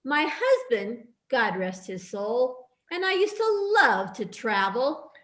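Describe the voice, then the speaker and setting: Woman playing a high-voiced, weary bartender. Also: big pitch swings, takes it slowly, fairly bright tone, full character voice. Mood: wistful. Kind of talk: amateur readers' theatre radio play.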